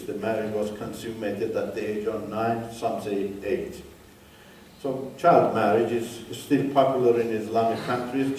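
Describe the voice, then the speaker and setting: A man's voice: fairly low pitch, warm tone, far from the mic, a little hiss or hum. Older man, echoey hall. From Iran. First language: Turkish. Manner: emphatic, in short halting phrases. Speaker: guest speaker. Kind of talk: personal testimony talk. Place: church hall.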